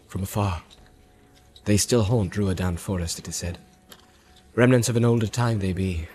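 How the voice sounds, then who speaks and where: A man voicing a stern, weary male marshal of riders who speaks in a grave, hushed, old-fashioned way in a storybook reading. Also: on the low side, slight background noise, close to an everyday voice.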